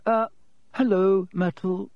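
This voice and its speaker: A man voicing an earnest, anxious young schoolgirl in a storybook, polite and eager to smooth things over. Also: high-pitched, steady tone, slow, no echo, lo-fi sound, voice pushed up. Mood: frantic. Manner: anxious, falsely bright when flustered.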